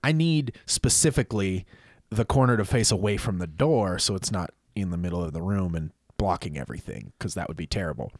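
A man's voice: low voice, lively intonation, fairly quick, dry recording, studio quality. A man telling a rambling story in casual chat, with lots of fillers, restarts and laughs.

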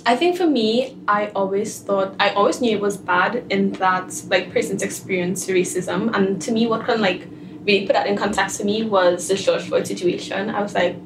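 A woman's voice: mid-range voice, moderate pace. A young woman from Trinidad and Tobago, a first-year student talking animatedly and saying "like" often. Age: young adult. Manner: animated, with frequent "like". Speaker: undergraduate guest. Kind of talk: conversational interview answers. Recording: roomy, distant mic with clear noise.

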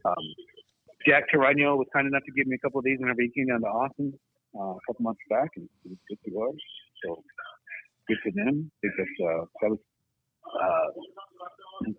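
A man's voice: mid-range voice, expressive, a little fast, gravelly, no echo. A man giving casual commentary as a panel guest over a tinny, narrow line.

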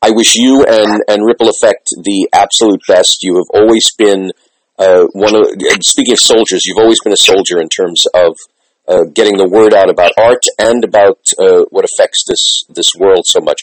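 A man hosting a casual interview, effusive and halting, often repeating his words as he goes. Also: lowish voice, fairly even intonation, no echo, poor audio. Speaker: interviewer, host.

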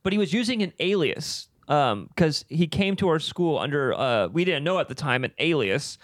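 Young man, podcast co-host, rambling, laughs a lot, fairly high voice, dry sound. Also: lively intonation, normal speed, a bit bright, no room sound. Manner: rambling storyteller, laughs often, lots of fillers. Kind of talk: casual chat.

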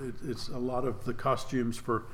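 A man hosting a theater podcast and interviewing a guest, measured, with many uhs and restarts. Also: medium pitch, flat delivery, a bit bright. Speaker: podcast host, theater artistic director.

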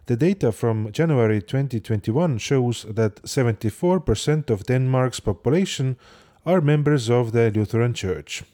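A man hosting the episode with a mellow, warm tone. He narrates and asks his interview questions in a measured, gentle way. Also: medium pitch, expressive. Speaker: podcast host and interviewer.

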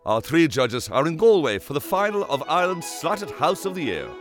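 An actor playing a grand TV property-show narrator, reading a scripted voice-over in a comedy radio play. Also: male voice, a little high, sing-song, normal speed. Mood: grand, admiring. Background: music.

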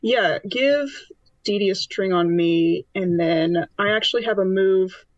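A woman's voice: mid-range voice, unhurried, no room sound. Female tabletop gamer, playful and casual, improvising, on a dry, slightly muffled mic. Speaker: player in a tabletop roleplaying game.

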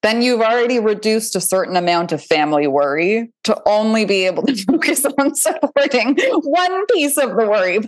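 A woman's voice: fairly high pitch, expressive, moderate pace, few pauses. A woman parenting coach, a guest on a video call, talking earnestly and encouragingly and acting out her own thoughts as little quotes. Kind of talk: casual conversation on a video call.

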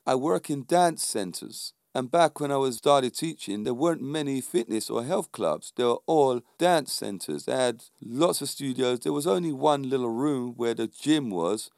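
A man's voice: mid-range voice, expressive, moderate pace, gravelly, few pauses, a little mellow, no echo, clean studio sound. A middle-aged man with a London accent, talking informally and emphatically to viewers as a fitness instructor, often checking in with short rhetorical questions. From London, England. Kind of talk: informal motivational monologue to viewers.

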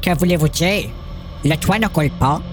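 A man playing a high-voiced, fretful father. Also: moderate pace, faint music behind, lightly acted. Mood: worried. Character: suspicious, worried middle-aged father. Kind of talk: amateur horror story dramatization.

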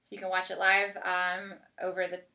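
A woman's voice: fairly low pitch, completely flat delivery, moderate pace, sharp tone. An American woman hosting a podcast, chatting casually and informally with plenty of "like". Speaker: podcast host.

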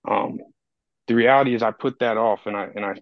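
Hoarse gravelly man, flat and low. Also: fairly quick, no echo, phone-quality sound. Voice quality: raspy, gravelly. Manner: reflective, rambling storytelling with many fillers. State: hoarse from a cold. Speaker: podcast co-host. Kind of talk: casual recovery podcast talk.

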